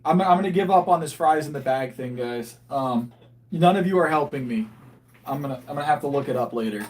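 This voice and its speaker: Man, 30s, casual livestream host, slightly higher voice, chatty and meandering. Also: expressive, brisk. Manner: rambling, with frequent restarts and thinking aloud. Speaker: livestreaming powerlifter. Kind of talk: casual livestream chat reading viewer comments.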